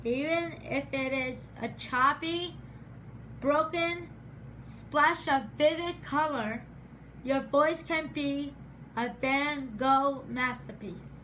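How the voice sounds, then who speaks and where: High bright-voiced woman reading a speech slowly, stutters a lot, repeats first sounds. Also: a little hiss or hum, poor audio. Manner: stutters with sound and word repetitions; deliberate, measured delivery. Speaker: program graduate giving a speech. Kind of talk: prepared speech.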